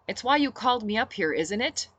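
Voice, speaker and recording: A woman's voice: on the high side, expressive, fairly quick, a bit bright, dry recording, voice pushed up. A woman voicing an irritable, defiant female rebel leader with a blunt, sarcastic manner, in a story reading. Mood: resolute.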